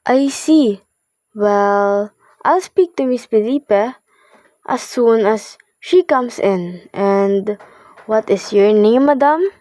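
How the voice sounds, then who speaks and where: Slow, measured, officious woman receptionist in a play. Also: fairly high pitch, expressive, warm tone, no echo, studio quality. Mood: polite, officious. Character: polite, formal, unhelpful female hospital receptionist. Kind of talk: amateur student radio play acting.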